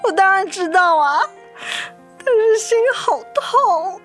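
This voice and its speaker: High-voiced, heartbroken younger sister. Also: female voice, animated, slow pace, full character voice. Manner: plaintive, emotional. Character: heartbroken, self-sacrificing younger sister and mother. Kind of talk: radio drama acting. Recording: music under the voice.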